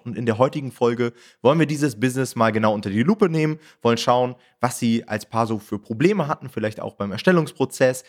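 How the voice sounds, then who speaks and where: A man hosting a podcast, greeting his guests and asking them open questions in a friendly way. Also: medium pitch. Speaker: podcast host. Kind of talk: podcast interview.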